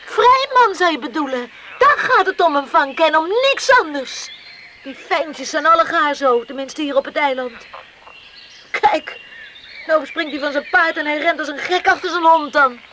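An actress playing a sharp-tongued, scornful young island woman in a radio play, tart and dismissive. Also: high-pitched, moderate pace, background noise, natural voice. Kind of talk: Dutch radio play acting.

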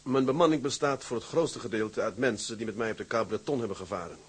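An actor playing an earnest, weary sea captain in a radio drama, speaking in a sober, measured, plain way. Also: male voice, medium pitch, slightly rough, lightly acted. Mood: polite. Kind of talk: Dutch radio drama acting.

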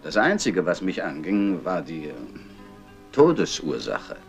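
Gruff defensive official in an old noir film, really low, swingy delivery, music under. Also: male voice, huge pitch swings, unhurried, full character voice.

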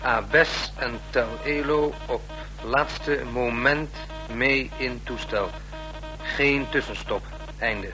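An actor playing an impersonal agent who reports formally in staccato telegram phrases in a radio play. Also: male voice, medium pitch, takes it slowly, over music, close to an everyday voice, rough recording. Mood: matter-of-fact.

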